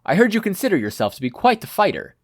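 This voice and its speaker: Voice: mid-range voice, sing-song, fast talker, pitched above the performer's own voice. A podfic reader voicing a predatory lion-man hero mentor who goads and commands his student. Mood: challenging. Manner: commanding, goading.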